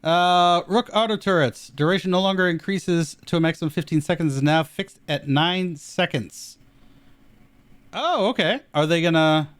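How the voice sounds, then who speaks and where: A man with a smooth, resonant voice, recorded close and dry. He co-hosts a casual gaming chat, reading notes aloud and dropping quick easygoing reactions. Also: on the high side, no echo. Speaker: podcast co-host.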